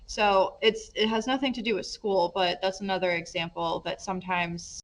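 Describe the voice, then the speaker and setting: A woman's voice: medium pitch, fairly even intonation, normal speed. Chatty woman host, Polish first language. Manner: chatty, laughs often. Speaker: podcast host. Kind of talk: casual podcast interview.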